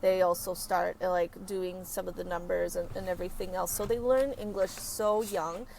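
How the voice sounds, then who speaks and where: A woman career consultant answers interview questions in a halting, conversational way. Her voice sits a little low, and she restarts her sentences often as she searches for words. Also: fairly even intonation, gravelly, a little mellow, a little hiss or hum, rough recording. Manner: halting, frequent self-corrections. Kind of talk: casual podcast interview.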